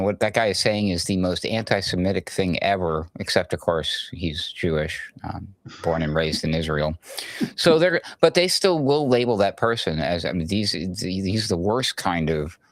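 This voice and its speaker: Man, co-host, sarcastic jokes, low voice, dry sound. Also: normal speed, dry recording. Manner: sarcastic, joking. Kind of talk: political commentary chat.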